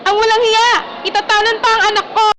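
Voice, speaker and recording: A high-voiced, cranky older Filipino mother-in-law played by an actress in a radio drama. She nags and scolds with sarcastic scorn. There is faint noise behind her. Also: level tone, quick pace. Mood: furious.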